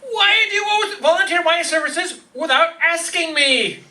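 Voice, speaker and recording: A man playing an exasperated but good-natured husband in an old-time radio sitcom, protesting in an animated way. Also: very high voice, normal speed, far from the mic, heavily acted.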